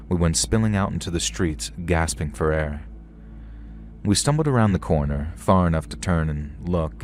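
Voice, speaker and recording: A man narrating a story in a calm, steady storytelling manner. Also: low-pitched, a little hiss or hum. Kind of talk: scripted horror story narration.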